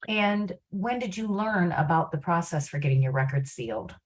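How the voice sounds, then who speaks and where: Lower-voiced woman moderating a panel. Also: animated. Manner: polite, measured questioning.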